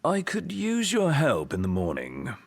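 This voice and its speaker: An actor playing a refined, dutiful royal advisor in a video game, with a British accent and formal, gently wry phrasing. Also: male voice, a little high, wildly swooping pitch, unhurried, gravelly, husky, exaggerated voice. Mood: calm, courteous. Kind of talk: video game cutscene acting.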